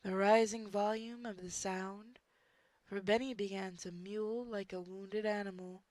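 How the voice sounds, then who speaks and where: A woman giving an amateur dramatic story narration, reading deliberately and expressively. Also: mid-range voice, fairly even intonation, darkish tone, dry recording. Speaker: narrator of online group readings.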